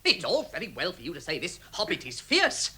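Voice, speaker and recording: A man's voice: a little high, wildly swooping pitch, a little fast, pushed and bright, full character voice. An actor playing a sceptical, argumentative male dwarf, blustery and complaining, in a vintage radio drama. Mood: scornful. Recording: vintage 1960s radio recording.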